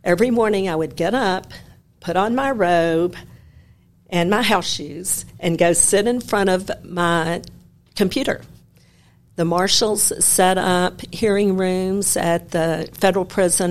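A woman in her sixties from Memphis, a retired judge telling stories from her career in a measured, wry way. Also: on the low side. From Memphis, Tennessee. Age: 60s. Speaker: guest, retired federal judge. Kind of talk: conversational interview.

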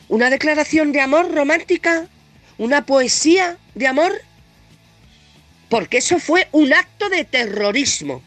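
A woman's voice: sits high, fairly bright tone, no echo. A woman with a Castilian Spanish accent and a heavy cold delivers a scathing political opinion monologue as a presenter, blunt, sarcastic, emphatic and indignant. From Spain.

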